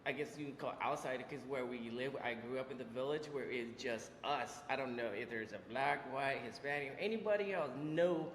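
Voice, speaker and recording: A man in his forties from Vietnam, telling his life story as an interview guest, halting with many fillers and restarts. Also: mid-range voice, fairly bright tone, a little hiss or hum. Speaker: interview guest, business owner. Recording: echoey room with long reverb.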